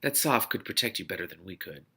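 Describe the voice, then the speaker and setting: Man acting out a bright, forceful, furious protective guy. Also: low voice, expressive, quick pace, gravelly, husky, full character voice. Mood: gruff, protective. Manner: loud, indignant, blunt. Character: furious, protective man, blunt and loyal rescuer.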